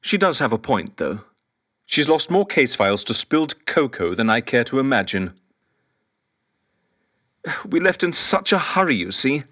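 A man's voice: medium pitch, very animated, normal speed, long pauses, pushed and bright. An actor playing a lonely, eccentric male detective who rambles fussily and whimsically into an audio diary in an audio drama. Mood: rueful. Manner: fussy, whimsical, wistful, digressive. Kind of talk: audio drama monologue as spoken journal.

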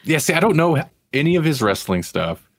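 A man's voice: fairly high pitch, sing-song, fairly quick, dry recording. A man co-hosting a podcast, chatting casually about pop culture. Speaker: podcast co-host.

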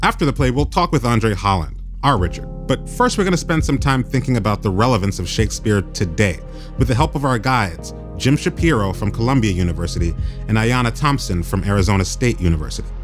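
A low-pitched man hosts a radio program with music faintly underneath. He moves between presenting to listeners and talking things through in conversation. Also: expressive, a little fast. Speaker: radio host.

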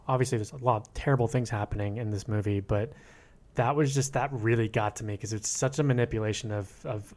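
A man in a casual film discussion, speaking earnestly and haltingly, often repeating words as he goes. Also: lowish voice, darkish tone. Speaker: podcast panelist.

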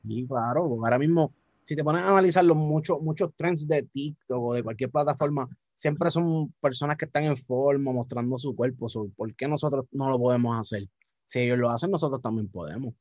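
A young man with a Puerto Rican Spanish accent, talking casually as a guest musician, relaxed and repeating words as he thinks. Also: medium pitch, brisk, no echo, highs cut off. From Puerto Rico. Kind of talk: casual interview chat.

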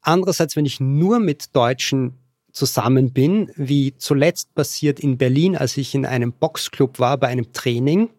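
A man with an Austrian accent, a podcast guest explaining things casually in careful, deliberate High German meant for learners. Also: darkish tone, no echo, studio quality.

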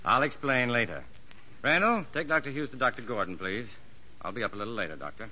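Kindly, measured psychologist, animated mid-range voice, dull vintage radio sound. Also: male voice, mid-range voice, slight background noise. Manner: measured, well-spoken. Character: kindly, sincere prison psychologist looking back. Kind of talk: 1950s radio drama acting and narration.

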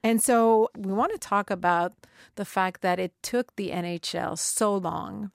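A woman co-hosting a podcast, lively and steering the conversation toward each topic. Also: mid-range voice, measured pace, creaky, no room sound, studio quality. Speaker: podcast co-host.

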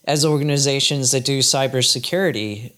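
A man's voice: mid-range voice, a little fast, a bit bright. A man hosting a podcast interview, asking long run-on questions in a conversational way. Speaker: podcast host.

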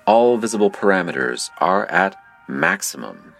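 A man playing a mysterious, impassive game operator who narrates a surreal audio drama in a formal, deadpan manner. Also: low voice, moderate pace, vocal fry, faint music behind, heavily acted.

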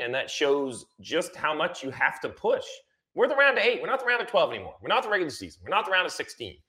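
A man's voice: medium pitch, bright, forceful tone. A man giving conversational motorsport analysis, emphatic and repeating phrases to drive points home.

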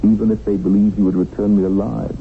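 An actor playing a cynical, world-weary middle-aged tycoon held captive, wry and taunting, in a 1970s radio drama. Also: male voice, lowish voice, flat delivery, fast talker, vocal fry. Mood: resigned, reflective. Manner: wry, musing, sardonic. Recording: lo-fi radio recording, tinny, faint noise.

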